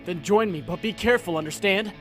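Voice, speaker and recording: Guy doing a young superhero in a comic dub, kinda high voice, earnest and dramatic, music pretty loud behind him. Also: fast talker, natural voice. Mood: urgent. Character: earnest young male superhero, lovestruck and torn about identity.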